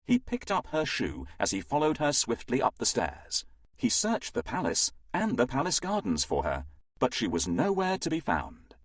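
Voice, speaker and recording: Husky, highly animated male storyteller. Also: normal speed, no echo. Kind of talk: scripted children's fairytale narration.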